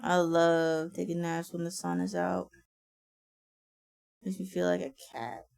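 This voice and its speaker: A sleepy woman talks slowly and casually into a close mic. Her voice has a gravelly, raspy edge, and she swears and laughs easily. The room sounds dry and close. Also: on the low side, flat delivery, darkish tone, no echo. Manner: chatty, swears and laughs often. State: tired. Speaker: streamer. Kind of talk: casual livestream chat.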